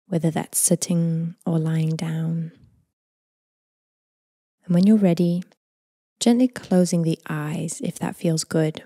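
Female meditation guide, breathy near-whisper, calm unhurried delivery, very dry close recording. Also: on the low side, no room sound. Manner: gentle, unhurried, calming delivery with long phrases trailing off. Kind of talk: guided body scan meditation, slow and soothing.

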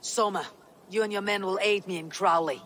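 A woman's voice: medium pitch, steady tone, a bit bright, slight background noise, natural voice. Woman acting a stern Viking warrior leader. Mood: commanding. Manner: stern, measured, resolute. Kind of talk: video game dramatic acting.

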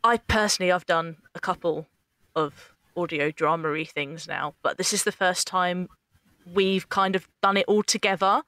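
A woman's voice: lowish voice, steady tone, normal speed, pushed and bright, dry recording. A woman talking casually about her work as a writer and actor. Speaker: scriptwriter and actor. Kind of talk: casual behind-the-scenes chat.

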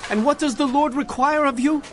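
A man's voice: very high voice, steady tone, brisk. An actor playing an earnest male prophet in a dramatized audio Bible, declaiming solemnly to his people. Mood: urgent, impassioned. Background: dramatic music.

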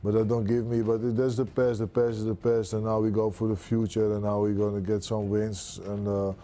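A man in his thirties with a Dutch accent, a kickboxer speaking confidently in a pre-fight studio interview, halting with many fillers. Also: on the low side, level tone, dark tone. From Netherlands. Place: studio with branded backdrop. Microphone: lapel.

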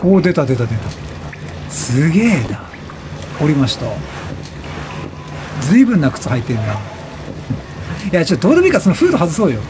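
High-pitched, animated male game streamer. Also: fairly quick, long pauses, background noise. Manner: chatty, reactive, repeats short phrases. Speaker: let's play streamer. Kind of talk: casual excitable game commentary. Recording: game music behind the voice. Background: video game music.

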